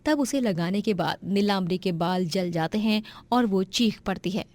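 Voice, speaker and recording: A woman's voice: medium pitch, normal speed, darkish tone, no room sound. A woman narrating a scripted moral story.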